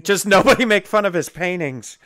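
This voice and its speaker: High-voiced joking man player. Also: expressive, fairly quick, noisy background. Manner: joking, playful. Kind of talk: casual tabletop game chat.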